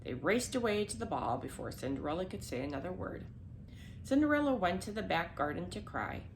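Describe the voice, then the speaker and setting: Female storyteller, lowish creaky voice, children's fairy tale read-aloud with small slips. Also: very animated, a little fast, slight background noise. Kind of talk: scripted children's storybook narration.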